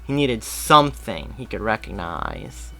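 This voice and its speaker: A man reading fan fiction aloud in a steady way, with small slips and restarts. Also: on the low side, a little slow, creaky, soft background music. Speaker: story reader.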